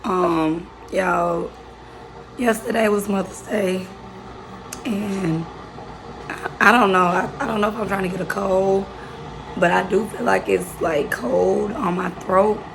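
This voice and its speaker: A young woman vlogging casually with a hoarse, raspy voice, talking slowly and quietly with many pauses and fillers. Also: medium pitch, fairly even intonation, background noise. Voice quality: raspy, worn-out voice. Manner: slow, subdued talk with many fillers. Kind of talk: casual vlog chat.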